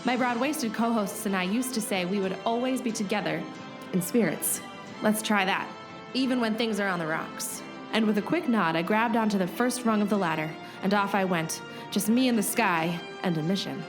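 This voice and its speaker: A woman's voice: mid-range voice, moderate pace. A female host performs a comic radio drama. Her mid-range voice is earnest and theatrical, set over a prominent music bed. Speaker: podcast host and narrator. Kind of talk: scripted comic radio play acting and narration.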